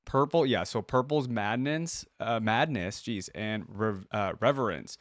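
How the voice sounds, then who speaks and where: A man's voice: lowish voice, measured pace. A man hosting a reaction video, talking informally and thinking aloud as he comments and reads bits off the screen. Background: music from the video being watched.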